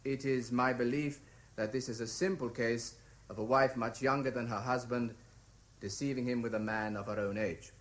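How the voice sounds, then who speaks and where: An actor playing a formal, officious court clerk reading documents aloud in a radio drama. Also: male voice, medium pitch, steady tone, normal speed, background noise, lightly acted. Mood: measured. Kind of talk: 1970s radio drama acting, formal read-out.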